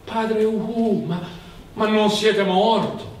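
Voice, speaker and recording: A man's voice: sits high, steady tone, slow, voice pushed up. A man acting a condemned villager who talks to visions with childlike wonder in a stage tale. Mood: astonished. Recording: roomy, distant mic, faint noise.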